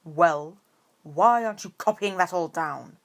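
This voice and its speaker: A woman voicing a stern, sour male potions professor in a storybook reading, with slow, drawn-out, curt phrasing. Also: on the low side. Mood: curt, commanding.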